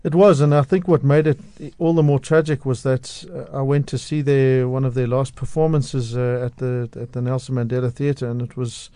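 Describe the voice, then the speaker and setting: A man's voice: medium pitch, little pitch movement, moderate pace, few pauses, mellow, clear, resonant tone, no room sound. A man from South Africa speaking as a guest on a radio show, outspoken and passionate, often restarting his sentences. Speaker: radio guest, media analyst. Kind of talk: radio interview. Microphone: studio microphone.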